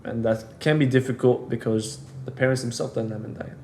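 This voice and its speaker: Casting: male co-host, middle pitch, laid-back and agreeable, recorded in a roomy echo. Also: a little fast, a little mellow, slight background noise. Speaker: podcast co-host. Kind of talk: casual podcast discussion. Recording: echoey room.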